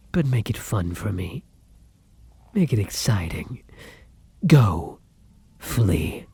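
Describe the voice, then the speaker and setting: Man performing a male vampire lover, audio roleplay, raspy breathy voice, unhurried, theatrical and courtly, tender yet menacing, close dry sound. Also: on the low side, dark tone, no room sound, exaggerated voice. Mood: menacing, hungry. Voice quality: husky, breathy, often dropping to whispers. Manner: theatrical, courtly endearments, savoring words. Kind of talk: intimate ASMR audio roleplay.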